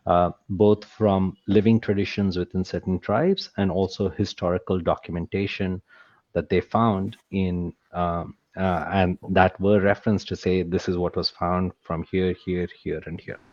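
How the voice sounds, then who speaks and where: A man's voice: low voice, little pitch movement, measured pace, a little mellow, no room sound, muffled recording. A man speaking as a guest author, explaining with dry humor. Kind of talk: casual discussion.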